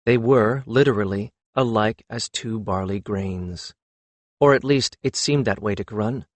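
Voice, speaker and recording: A man's voice: lowish voice, a little slow, no echo. A man reading a fantasy story as an audiobook narrator, in scripted narration.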